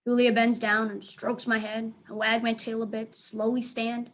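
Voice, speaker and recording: A woman reading a children's book aloud as a teacher, in an expressive storytelling style. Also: a little high, normal speed, slight background noise.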